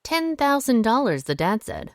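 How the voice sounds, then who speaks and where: A woman's voice: a little high, big pitch swings, moderate pace. A woman playing a guarded, independent small-town amateur sleuth in an audio drama, with a wry, reserved manner. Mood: wry, businesslike. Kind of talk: audio drama dialogue acting.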